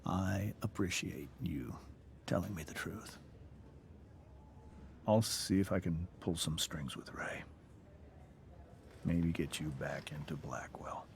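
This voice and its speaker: A man playing a grave, weary middle-aged father in a video game, measured and solemn. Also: sits low, creaky, a little hiss or hum, full character voice. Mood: reassuring. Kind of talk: naturalistic video game acting.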